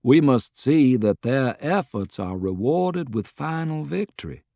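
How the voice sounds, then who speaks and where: A man voicing a shrewd, persuasive Confederate statesman in an audiobook, speaking in a deliberate, eloquent way. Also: medium pitch, lively intonation, creaky, warm tone, no echo, studio quality, pitched above the performer's own voice. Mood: soft conviction. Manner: deliberate, articulate, rhetorical.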